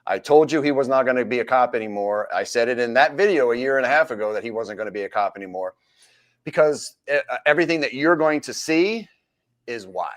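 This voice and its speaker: A man's voice: mid-range voice, lively intonation, fairly quick. A man co-hosting a live stream, chatty and easygoing, telling stories from his years as a police officer. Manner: chatty, storytelling, laughs easily. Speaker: co-host, former police officer. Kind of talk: casual live-stream chat.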